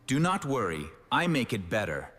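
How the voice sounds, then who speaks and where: A man voicing a kind, patient cartoon dentist who speaks calm, simple broken English. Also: mid-range voice, normal speed, in a large hall, a little hiss or hum. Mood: reassuring.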